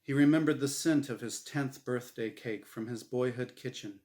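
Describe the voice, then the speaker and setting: A man reading his own story aloud as a dramatic, humorous narration, deliberate and expressive. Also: mid-range voice.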